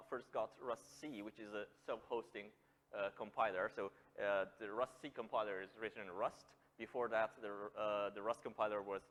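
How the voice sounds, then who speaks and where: A man with a Romanian accent giving an informal technical presentation, hesitant, with frequent filled pauses. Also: mid-range voice. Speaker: conference speaker, software engineer. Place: indoor meetup venue. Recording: echoey hall with long reverb.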